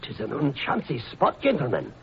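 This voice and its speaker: An actor with a Scottish accent playing a superstitious, grudging old cabman in a 1940s radio play, giving drawn-out, ominous warnings. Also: medium pitch, huge pitch swings. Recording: tinny old radio broadcast, faint hiss.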